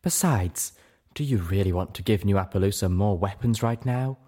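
A man's voice: lowish voice, moderate pace, dark tone, natural voice. A man voicing a refined, composed female unicorn with polite, proper diction in an audiobook. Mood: concerned reproof. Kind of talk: audiobook character voice.

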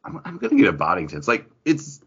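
A man with a low, forceful voice chats casually as a podcast co-host. He slips into quoted dialogue as he tells stories. The recording is slightly dull and lo-fi. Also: huge pitch swings, fast talker, breathy edge. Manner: anecdotal, acts out remembered dialogue. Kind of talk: casual chat among friends. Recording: dull, band-limited audio.

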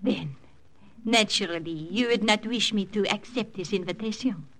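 A woman's voice: medium pitch, moderate pace, fairly bright tone, lightly acted. An actress playing a teasing, seductive woman in a 1940s radio drama. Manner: coy, teasing, sprinkles French phrases.

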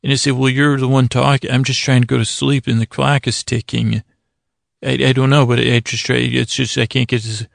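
A man hosting a sleep podcast in a gentle, soothing way, with halting, fumbling, meandering monologue that keeps interrupting itself. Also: mid-range voice, level tone, gravelly, no room sound, studio quality. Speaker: podcast host. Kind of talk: rambling bedtime monologue to lull listeners to sleep.

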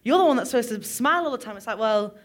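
A woman, a musician, talking openly in an interview, moving between soft, quiet moments and loud, animated ones. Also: a little high, very fast. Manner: open, expressive, swings from soft to loud. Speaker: musician guest. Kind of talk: candid interview conversation.

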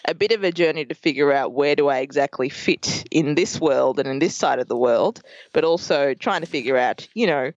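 A woman's voice: low voice, a little fast, darkish tone, no echo. Bubbly Australian woman, dry lo-fi sound. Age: 30s. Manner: bubbly, laughs often. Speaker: guest, podcast producer. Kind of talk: casual interview chat.

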